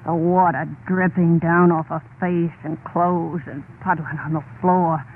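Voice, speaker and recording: An actress playing a haunted, unhinged wife confessing to murder in an old radio horror play, intense and halting, insistently repeating herself. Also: female voice, fairly low pitch, little pitch movement, close to an everyday voice. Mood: dread. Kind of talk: old-time radio horror monologue, dramatic first-person narration. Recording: tinny, band-limited old radio recording, faint noise.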